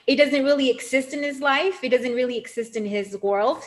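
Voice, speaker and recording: A woman appearing as a guest on a talk show, chatting in a lively, animated way, her voice rising and falling as she laughs often. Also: high-pitched, a little fast. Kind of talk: casual interview chat.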